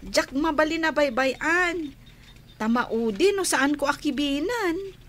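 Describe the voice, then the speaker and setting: A woman's voice: high voice, expressive, slow pace, fairly bright tone, slight background noise, exaggerated voice. A woman playing a loyal, protective schoolgirl big sister in a radio drama, with a spirited, caring manner. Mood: reassuring, insistent.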